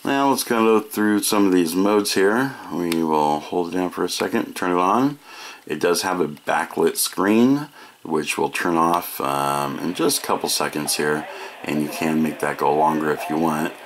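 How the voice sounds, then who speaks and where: A man's voice: sits low, measured pace, a little hiss or hum. An American man giving a casual product demonstration, explaining each feature in a matter-of-fact way. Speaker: product reviewer.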